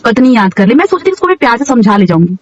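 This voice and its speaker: A woman's voice: a little high, big pitch swings, very fast, recorded from a distance, dull audio, slight background noise. A woman in a heated, informal phone conversation, speaking emphatically and repeating words insistently, on a call recording.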